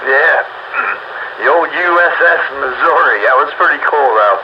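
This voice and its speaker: A man's voice: on the high side, lively intonation, measured pace. A man chatting casually on the radio in a folksy, rambling manner. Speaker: amateur radio operator. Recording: dull radio transmission, clear background noise.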